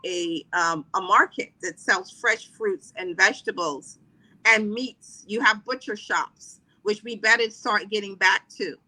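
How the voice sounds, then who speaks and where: A woman's voice: medium pitch, sharp tone, low-quality recording. A middle-aged woman from the Caribbean, chatting freely as a podcast guest with many fillers and restarts. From Trinidad and Barbados. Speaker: podcast guest, wellness coach. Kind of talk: casual interview talk.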